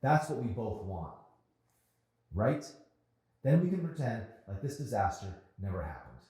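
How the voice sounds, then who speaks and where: A man's voice: lowish voice, big pitch swings, measured pace, mellow, voice pushed up. A man voicing an awkward, defensive, reluctant teenage boy in a novel reading. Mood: defensive, grudging. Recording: very echoey room.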